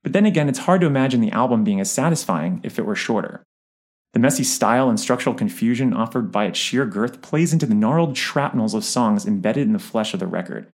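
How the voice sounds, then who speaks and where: A man's voice: medium pitch, lively intonation, fast, a little mellow. A man reading a scripted album review as narration.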